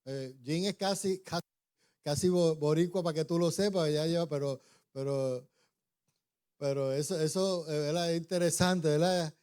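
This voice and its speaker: A man's voice: fairly high pitch, fairly even intonation, normal speed, darkish tone, clear, resonant tone. A middle-aged man and pastor, originally an English speaker, preaching in an informal way with frequent restarts and tag questions. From born in New York, raised in Puerto Rico. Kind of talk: conversational sermon.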